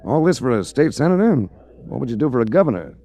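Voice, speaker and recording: An American actor playing a tough, wry insurance investigator in clipped 1940s radio detective style. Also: male voice, mid-range voice, sing-song, fast, mellow. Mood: pointed, businesslike. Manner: wry, clipped, hard-boiled.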